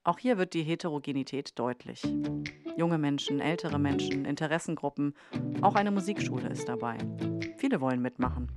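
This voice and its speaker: A woman's voice: deep voice, brisk, over loud music. A woman reading scripted podcast narration as the host.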